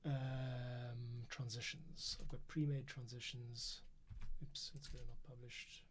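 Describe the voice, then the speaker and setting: A man's voice: mid-range voice, flat delivery, takes it slowly. A man hosting a composing livestream, thinking aloud in a casual murmur as he works. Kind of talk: casual livestream work commentary, muttering to self. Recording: noisy room, keyboard clicks and typing.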